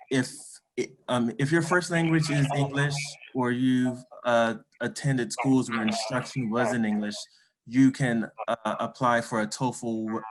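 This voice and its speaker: A man's voice: medium pitch, poor audio. A man from admissions answering a webinar question, hesitant, with many ums and restarts. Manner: hesitant, stumbling over words with many ums. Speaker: admissions representative. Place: online webinar call.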